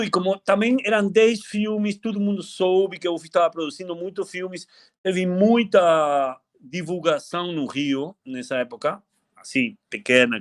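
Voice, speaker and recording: Older man from Argentina reminiscing. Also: on the high side. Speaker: film director at a panel. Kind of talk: reminiscing in a panel talk.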